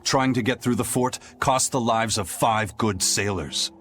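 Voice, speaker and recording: Game casting: grim, measured pirate man, notably deep, ominous and fatalistic. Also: fairly bright tone, a little hiss or hum. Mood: grave warning. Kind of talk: English video game voice acting.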